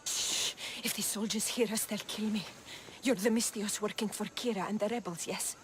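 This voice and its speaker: An actress playing a sly, fearful informant in a video game, hushed, secretive and teasing. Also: female voice, medium pitch, fairly even intonation, moderate pace, a little hiss or hum, natural voice. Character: cryptic, furtive female informant, sly and fearful. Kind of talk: video game cutscene acting.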